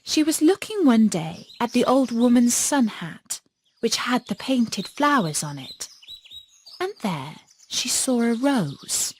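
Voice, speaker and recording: Expressive, unhurried woman storyteller. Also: a little high, slight background noise. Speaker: audiobook narrator. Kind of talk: scripted children's fairy tale narration.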